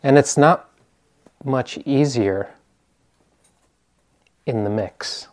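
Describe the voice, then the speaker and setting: An American man from the East Coast giving an informal meditation talk as a teacher, hesitant and often pausing to search for words. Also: mid-range voice, a little mellow.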